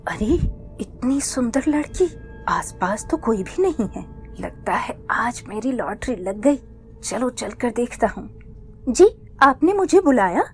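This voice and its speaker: A woman's voice: a little high, very animated, measured pace, faint music behind. A woman voicing a smitten, gullible young village man in a cartoon story, eager and exclamatory. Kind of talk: Hindi cartoon story acting.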